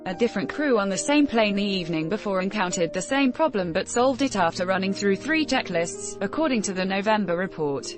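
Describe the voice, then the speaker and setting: Female news narrator for scripted article read, medium pitch, background music. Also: lively intonation, fairly quick, few pauses.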